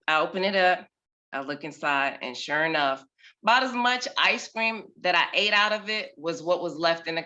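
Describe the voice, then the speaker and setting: Woman in her thirties, storyteller; slow, deliberate pacing and a bright, forward tone, dramatic and expressive over a video call. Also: low-pitched. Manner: expressive, dramatic storytelling with big swings in volume. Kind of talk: personal storytelling performance.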